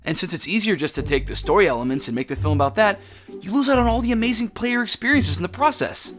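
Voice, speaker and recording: A man's voice: high voice, expressive, quick pace, a bit bright, faint music behind. An actor playing a jaded, argumentative video game fan, a young man speaking emphatically in a comedy sketch. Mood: explaining. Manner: opinionated, emphatic. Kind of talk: scripted comedic debate.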